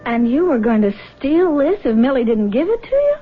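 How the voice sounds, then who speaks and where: An actress playing a caring, sympathetic grown daughter in a 1970s radio drama. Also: female voice, high-pitched, big pitch swings, a little fast, husky, phone-quality sound, faint music behind, heavily acted. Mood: indignant, concerned. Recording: thin, band-limited vintage radio recording.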